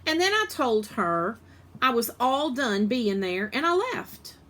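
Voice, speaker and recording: A woman reading a children's story aloud in an animated, expressive way, with emphasis on the dialogue. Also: fairly high pitch, slow pace.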